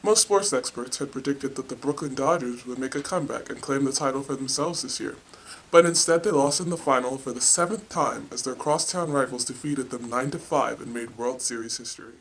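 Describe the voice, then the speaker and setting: A man's voice: medium pitch, brisk, a bit bright, a little hiss or hum, poor audio. A man reading scripted news stories as a newscast anchor.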